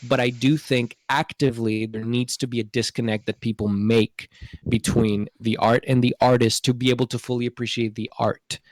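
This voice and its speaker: A man's voice: medium pitch, little pitch movement, normal speed, a little mellow, dry recording. A man talking casually on a podcast, earnestly explaining his view and often checking that the listener follows. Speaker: podcast host. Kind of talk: casual opinion discussion.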